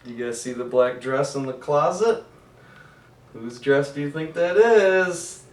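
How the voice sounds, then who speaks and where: A man, a PE teacher, reading a picture book aloud to children and gently asking them questions, recorded in an echoey room away from the mic. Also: fairly high pitch, expressive, measured pace, far from the mic, a little hiss or hum. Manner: gentle, asks the kids questions, chuckles.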